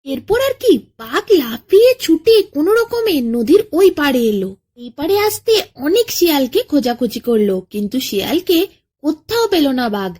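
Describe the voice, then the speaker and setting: A woman narrating a children's bedtime folk tale as a storyteller, in an expressive, dramatic way. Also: high voice, normal speed.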